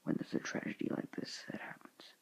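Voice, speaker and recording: A teenage boy talking to his viewers in a soft, hushed, halting whisper, earnest and sorrowful in tone. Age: teens. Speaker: student vlogger. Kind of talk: whispered somber address to viewers.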